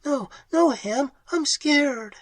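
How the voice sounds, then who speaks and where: A man's voice: very animated, moderate pace, fairly bright tone, dry recording, heavily acted. A man voicing a frightened young woman explorer. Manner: tense, high, breathy whispering and pleading.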